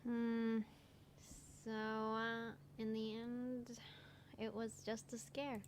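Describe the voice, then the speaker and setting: A woman's voice: fairly high pitch, completely flat delivery, extremely slow, a little hiss or hum. A woman game streamer giving informal, reactive let's play commentary. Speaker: streamer playing a video game.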